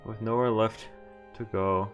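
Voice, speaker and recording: Guy with a lowish voice reading a game out loud on stream, swears a lot, faint music behind. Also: fairly even intonation, a little slow. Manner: swears freely. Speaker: streamer playing a visual novel. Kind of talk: reading game text aloud with casual commentary.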